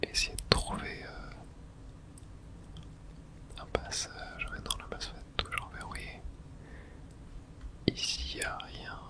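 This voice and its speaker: Someone whispering throughout an ASMR let's play, narrating the game and reading its texts aloud in a hush. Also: slow pace, pauses often. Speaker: host playing and narrating a game.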